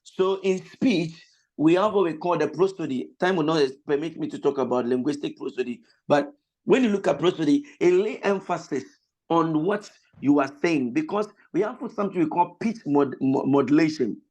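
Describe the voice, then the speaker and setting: A man, a pastor and vocal lecturer, teaching an online voice class over a video call. He has a cold that roughens his voice, explains slowly, repeats his points and breaks into sung examples. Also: fairly high pitch, a little mellow. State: has a cold, voice slightly lost.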